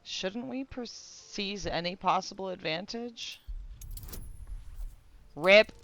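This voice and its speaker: A woman game streamer giving casual live commentary, playful and expressive, swearing casually. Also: medium pitch, slow. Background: video game music.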